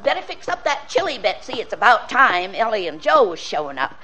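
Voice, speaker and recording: A woman's voice: medium pitch, very animated, fairly quick, sharp tone, a little hiss or hum. An actress playing a chatty, folksy drive-in proprietress in an old radio drama, garrulous and rustic in her grammar. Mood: chatty, nosy.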